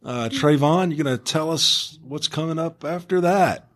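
A man's voice: on the high side, moderate pace, fairly bright tone, no echo. A man hosting a casual school podcast, encouraging his co-hosts and prompting them with questions. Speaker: podcast host.

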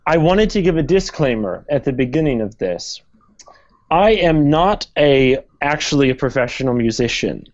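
Man, slightly high voice, hesitant with ums, self-deprecating, practice room, muffled lo-fi audio. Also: expressive, moderate pace, darkish tone. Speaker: guest vocal coach. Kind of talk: casual podcast chat. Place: small practice room with a piano.

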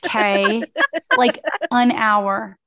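A woman's voice: mid-range voice, little pitch movement, very slow, a bit bright. Scratchy-voiced woman chatting. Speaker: podcast co-host. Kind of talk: casual chat.

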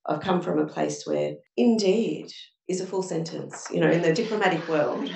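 A woman's voice: sits low, normal speed, gravelly, darkish tone. A woman speaking on a panel, giving earnest advice built from examples to a live audience. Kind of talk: panel discussion answer. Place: event room with audience. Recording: echoey room from a distance.

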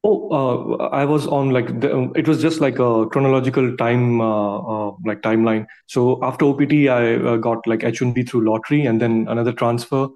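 A man from India calling in to ask for advice, speaking spontaneously in a hesitant, halting way. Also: mid-range voice, fairly even intonation, a little mellow. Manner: hesitant, halting, heavy use of "like". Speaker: caller seeking immigration advice. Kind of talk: spontaneous question on a conference call.

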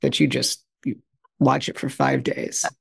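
A woman hosting a podcast reads a guest introduction and asks measured, playful questions. Her voice sits notably low for a woman. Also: flat delivery, gravelly, breathy edge. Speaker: podcast co-host. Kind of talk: scripted introduction and interview questions.

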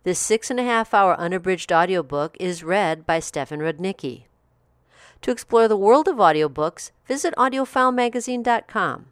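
A woman's voice: medium pitch, expressive, normal speed, no room sound, clean studio sound. A woman hosting a review show, delivering scripted narration. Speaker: host of audiobook review podcast.

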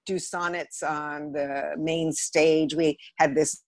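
A woman's voice: low-pitched, level tone, slow pace, dry recording. A woman, telling stories candidly and with self-deprecating humour as a guest in a casual interview. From Los Angeles area, United States. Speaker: podcast guest, theatre director.

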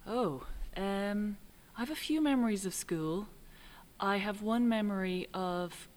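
A middle-aged Irish woman, a writer and director, answering interview questions in a thoughtful, halting way with frequent ums. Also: mid-range voice, a little mellow. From Ireland. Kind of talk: relaxed reflective interview answers.

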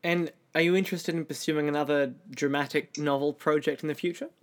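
A man's voice: fairly high pitch, steady tone, brisk. A man hosting a podcast, putting questions to his guest in a conversational interviewing style.

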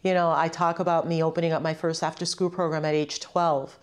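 Middle-aged woman reflecting, slightly low voice. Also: level tone, fairly quick. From Puerto Rico-born, grew up in western Massachusetts from age two. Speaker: interview guest, mother and community organizer. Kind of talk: personal, reflective interview talk.

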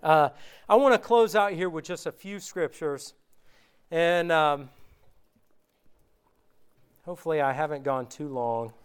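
A man preaching an informal sermon, reading scripture aloud slowly and conversationally, his voice worn from recent illness. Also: a little high, smooth. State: recovering from illness, voice going.

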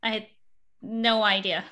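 A woman's voice: medium pitch, flat delivery, slow, sharp tone. A young adult woman hosting a podcast interview, talking eagerly in long, rambling sentences with restarts. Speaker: podcast host.

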